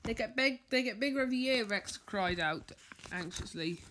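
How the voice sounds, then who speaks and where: A woman reading a children's bedtime story aloud, haltingly, stumbling over and repeating words as she goes. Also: on the high side, expressive, background noise. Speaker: storybook reader.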